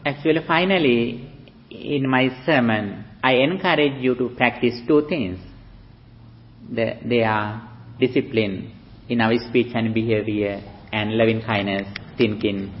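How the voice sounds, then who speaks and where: A man, a Buddhist monk from Sri Lanka with a Sri Lankan accent, giving a slow, halting dharma talk with pauses for an interpreter. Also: mid-range voice, slight background noise. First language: Sinhala. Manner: slow, halting speech with many fillers. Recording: dull lo-fi recording.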